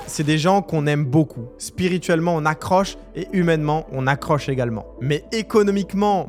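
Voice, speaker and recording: Emphatic young man over music. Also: a little high. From Algeria. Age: 20s. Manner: direct, emphatic, addresses viewer informally. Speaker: entrepreneur vlogger. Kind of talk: motivational talk to camera.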